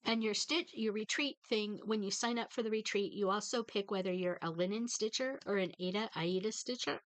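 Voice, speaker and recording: A woman's voice: mid-range voice, fairly quick, no echo. Stuffed-up woman chatting to viewers, congested. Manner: rambling, many fillers and restarts. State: stuffed up from a head cold; tearful. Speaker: cross stitch vlogger. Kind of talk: casual chat to viewers.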